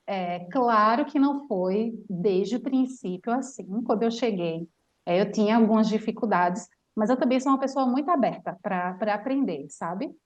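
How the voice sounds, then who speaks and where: A woman with a Brazilian Portuguese accent, a language teacher telling a lively travel story in casual chat, full of fillers. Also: mid-range voice, normal speed, highs cut off. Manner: animated storyteller, chatty with many fillers.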